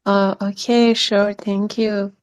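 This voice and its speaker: A woman's voice: mid-range voice, level tone, slow, clear, resonant tone. A woman in her twenties from Iran, speaking hesitant English with an Iranian accent as she presents her work as a guest, mostly from a prepared text. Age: 20s. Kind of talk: reading a prepared text aloud.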